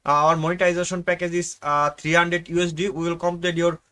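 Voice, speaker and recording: Man pitching services, agreeable, broken grammar, slightly high voice. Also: level tone, brisk, fairly bright tone. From Bangladesh. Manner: agreeable, broken English grammar, says "okay, sure" a lot. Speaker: agency representative. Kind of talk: sales call.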